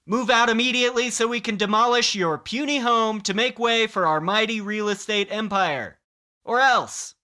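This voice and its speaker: A puppet-show landlord with a high, piercingly bright voice and crisp, clean delivery. The villain is cartoonish, grandiose and threatening, puffed up with self-importance. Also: male voice, moderate pace. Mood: pompous menace. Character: pompous, menacing cartoonish puppet landlord of a mighty empire.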